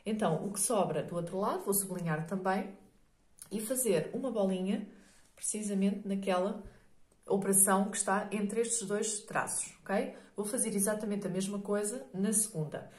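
A hoarse middle-aged woman with a European Portuguese accent, teaching a maths lesson patiently, checking in often with "ok?" and many fillers. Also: mid-range voice, moderate pace, a little mellow, roomy sound. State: hoarse from a cold.

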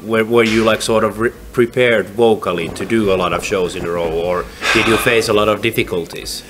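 A man with a low voice asks interview questions in a halting, hedging way. A faint hiss runs under the recording. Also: steady tone.

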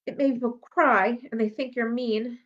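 A woman reading the part of a troubled, guarded young schoolgirl in a storybook, earnest and halting. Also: fairly high pitch, steady tone, natural voice. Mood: anxious, confessing. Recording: dull lo-fi audio.

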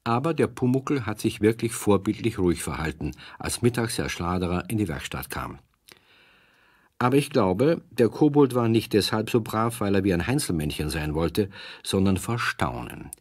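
An actor playing a wry male storyteller, narrating a children's audio drama in a husky voice. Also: lowish voice, sing-song, moderate pace, no room sound. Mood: amused storytelling.

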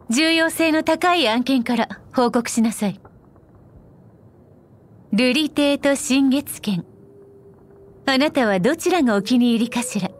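Slow, elegant noblewoman. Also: sits high, a little hiss or hum. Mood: composed, commanding, then elegant, musing. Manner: elegant, composed, teasing, deliberate. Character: poised, shrewd, wealthy noblewoman trader and ruler. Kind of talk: scripted anime game character voice lines.

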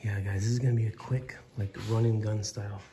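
Man vlogging quietly inside a ruin. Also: on the low side, little pitch movement, a little fast, slight background noise. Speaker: vlogger filming an abandoned building. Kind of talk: handheld exploration vlog, talking to camera. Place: inside a large abandoned building.

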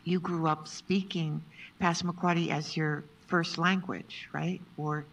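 A moderator asks questions softly and hesitantly, leaning on fillers while thinking. The voice has a gravelly edge and is caught from a distance in a lecture room. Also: medium pitch, fairly even intonation, unhurried. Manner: soft-spoken, hesitant, many fillers. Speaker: interviewer, seminar moderator. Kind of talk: asking questions at a seminar.

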